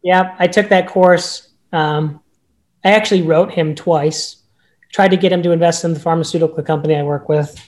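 A man with a notably high-pitched voice, a real estate agent speaking as a podcast guest in an upbeat, emphatic way. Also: steady tone, normal speed. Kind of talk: casual podcast interview.

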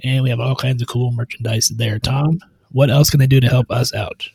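Easygoing male podcast co-host, husky voice. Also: mid-range voice, steady tone, a little fast, a little mellow, dry recording. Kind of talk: casual chat.

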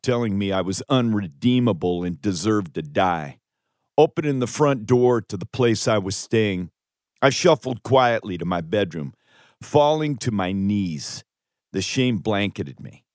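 A man's voice: medium pitch, no echo, clean studio sound. A man reading a scripted first-person audiobook testimony with earnest, dramatic emphasis. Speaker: author reading own audiobook.

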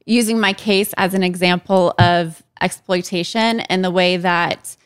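A woman being interviewed about her own life, speaking candidly and hesitantly, with frequent pauses and ums. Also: medium pitch, a bit bright, smooth, dry recording, studio quality. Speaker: interview guest.

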